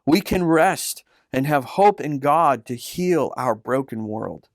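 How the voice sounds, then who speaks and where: Man reading as a slow-spoken, devout old uncle. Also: medium pitch, lively intonation, no echo. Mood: earnest, hopeful. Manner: earnest, deliberate. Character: old uncle Simeon, devout, tender, hopeful storyteller. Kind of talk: storybook dialogue.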